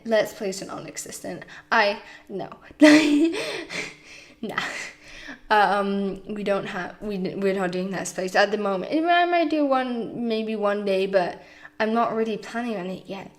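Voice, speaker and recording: A woman's voice: mid-range voice, animated, measured pace. A woman chatting casually in a rambling voiceover over gameplay footage, losing her voice, with frequent fillers and restarts. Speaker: YouTube game builder.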